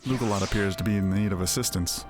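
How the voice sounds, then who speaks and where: Courtly stable master, formal and worried, a man voicing him; low voice, faint game music behind. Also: fast talker, lightly acted. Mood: earnest.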